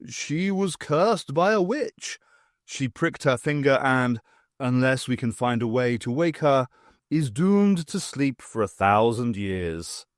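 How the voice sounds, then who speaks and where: A man doing a slow, solemn storybook king. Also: medium pitch, lively intonation, dry recording, studio quality. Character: fretful storybook male king.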